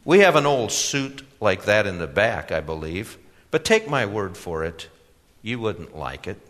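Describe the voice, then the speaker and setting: A man voicing an oily, condescending clothing salesman with a lisping, drawn-out, affected delivery in a storybook tale. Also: on the low side, big pitch swings, a bit bright. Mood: smooth, coaxing. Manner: drawn-out, affected, mock-helpful. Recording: reverberant hall.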